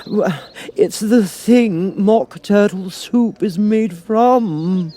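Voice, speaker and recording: A man voicing a savage, bossy storybook Queen who shouts orders in a drawn-out, imperious way. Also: sits high, pitched above the performer's own voice. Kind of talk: storybook character voice.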